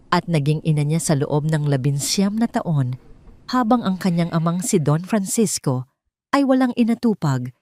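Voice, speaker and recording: Low-pitched Filipino woman narrating a drama. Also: darkish tone. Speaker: story narrator. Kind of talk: scripted dramatic audio story narration.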